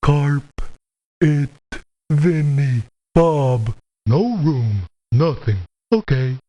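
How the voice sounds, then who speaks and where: A man's voice: a little high, lively intonation, very slow, warm tone. A man voicing a grumpy, combative video game mercenary who talks in terse, colloquial, confrontational lines. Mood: flat, terse. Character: grumpy, combative male mercenary, stubborn and folksy. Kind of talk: video game character voice acting.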